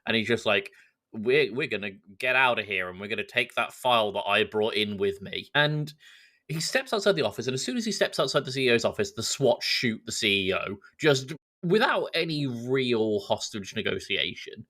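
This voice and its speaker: A man co-hosting a podcast, talking casually about films in an animated, mocking storytelling way. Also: mid-range voice, normal speed. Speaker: podcast co-host. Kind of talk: casual film discussion.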